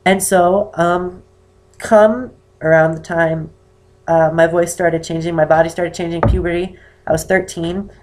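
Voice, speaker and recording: An eighteen-year-old man with an unusually high-pitched voice and damaged vocal cords, talking casually to camera and rambling with many ums. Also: fairly even intonation, measured pace, darkish tone. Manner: rambling, with many "um"s and self-corrections. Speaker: vlogger. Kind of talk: casual personal talk to camera. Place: indoor room with poor lighting.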